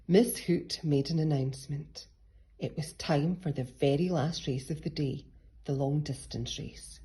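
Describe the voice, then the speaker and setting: A woman reads a children's story in a lowish, very husky, breathy voice. Her delivery is that of picture-book narration. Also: a little slow, mellow. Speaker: storybook reader.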